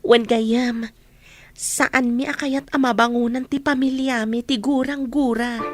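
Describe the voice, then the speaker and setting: A woman's voice: fairly high pitch, fairly even intonation, measured pace, close to an everyday voice. A woman acting an earnest, secretive young student in a radio drama. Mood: hopeful, secretive. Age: young adult.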